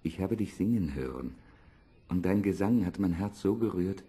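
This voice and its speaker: An actor playing an earnest, ardent young prince in a fairy-tale audio drama, declaiming formally. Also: male voice, low-pitched, animated, normal speed, mellow, breathy edge. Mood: tender, ardent.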